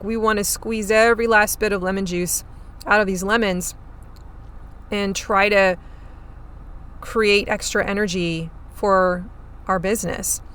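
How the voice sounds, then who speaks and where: Hoarse upbeat woman podcast host. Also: medium pitch, bright, forceful tone. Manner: chatty and upbeat, chewing gum. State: hoarse, scratchy voice. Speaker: podcast host and farm marketing coach. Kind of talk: solo podcast talk.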